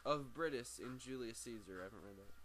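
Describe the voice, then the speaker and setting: Male amateur narrator, mid-pitched, book reading with frequent stumbles and repeats, noticeable background noise. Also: brisk, a bit bright, rough recording. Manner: stumbles over words and repeats phrases. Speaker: amateur audiobook reader.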